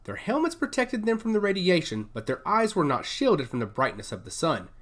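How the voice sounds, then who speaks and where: A man reading scripted science fiction narration in a measured, dramatic storytelling manner. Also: high voice, huge pitch swings, fairly bright tone. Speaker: audiobook narrator.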